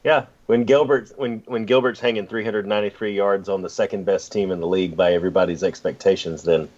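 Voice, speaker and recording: Lower-pitched male podcast co-host, dull audio. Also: steady tone, fast, darkish tone. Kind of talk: casual sports banter.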